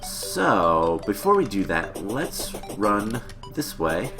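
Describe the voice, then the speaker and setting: A man with a lowish voice talks through a let's-play in a loose, rambling way. His nose sounds a little stuffed up from a cold. Game music plays clearly under his commentary. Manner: relaxed and rambling, with many "uh" fillers. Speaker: gaming channel host.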